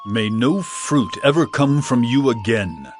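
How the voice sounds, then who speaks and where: An actor playing an authoritative, earnest male religious teacher in an audio drama, speaking in emphatic, measured phrases. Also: medium pitch, lively intonation, faint music behind, natural voice. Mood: stern.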